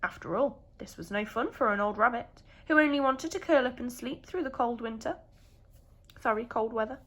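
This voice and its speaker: A woman's voice: a little high, lively intonation, moderate pace, fairly bright tone, a little hiss or hum. A woman with a British accent, a primary school teacher telling a story expressively to young children.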